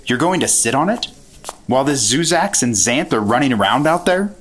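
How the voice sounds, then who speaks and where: A man's voice: mid-range voice, expressive, normal speed, fairly bright tone, breathy edge. An American man playing a bitter, impatient junior government agent in a 1950s radio drama, sullen and challenging.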